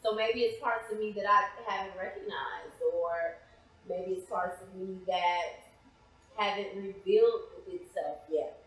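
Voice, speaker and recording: A woman's voice: medium pitch, unhurried, fairly bright tone. An American woman giving casual interview answers as a guest playwright. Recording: very echoey, reverberant room.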